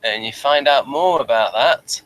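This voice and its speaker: A man hosting a casual review chat, talking in a halting way and repeating words and phrases. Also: medium pitch, a bit bright, poor audio. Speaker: host of a TV review show. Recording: faint background noise, room ambience.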